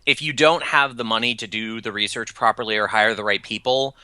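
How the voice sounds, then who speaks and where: A man's voice: fairly low pitch, brisk, pushed and bright, smooth, no room sound. A man chatting casually on a podcast, enthusiastic and filling his talk with "you know" and "I mean".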